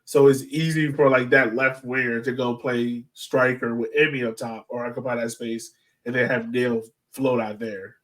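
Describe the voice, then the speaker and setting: Medium-pitched man talking sports casually. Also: fairly even intonation, normal speed, a bit bright. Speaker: podcast co-host.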